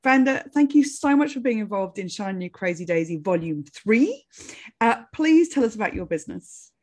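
A woman's voice: mid-range voice, animated, normal speed, darkish tone. Enthusiastic woman podcast host. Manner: enthusiastic, encouraging. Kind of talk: scripted intro then casual interview.

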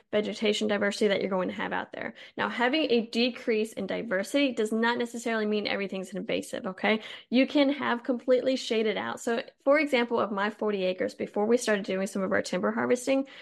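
Slightly hoarse, gravelly woman. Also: medium pitch. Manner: chatty, explanatory, with asides. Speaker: podcast host. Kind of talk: casual educational talk on forestry.

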